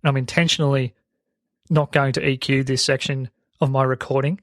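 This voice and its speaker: A man's voice: mid-range voice, level tone, brisk, fairly bright tone, no echo. Very sibilant Australian man, dry close mic. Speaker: podcast host. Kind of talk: explanatory podcast outro. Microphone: close broadcast dynamic microphone.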